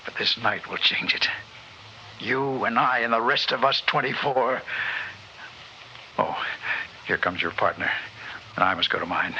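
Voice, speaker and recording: An actor playing a solemn young conspirator of a future world, speaking portentously in an old radio drama. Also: male voice, medium pitch, pushed and bright. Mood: solemn, conspiratorial. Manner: deliberate, portentous. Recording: dull old radio recording with hiss.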